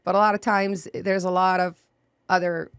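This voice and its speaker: Low-voiced woman from Iraq, chatty guest. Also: flat delivery, normal speed, smooth, no room sound, studio quality. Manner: chatty, frequent "you know" and "um". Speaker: guest, sign business owner. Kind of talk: casual interview. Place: podcast studio.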